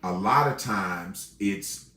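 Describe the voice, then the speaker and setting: A man's voice: low voice, slow pace, pushed and bright. Stammering man affirming, echoey room. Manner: stammering restarts, eager affirmations. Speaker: co-host of a ministry talk show. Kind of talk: casual faith chat.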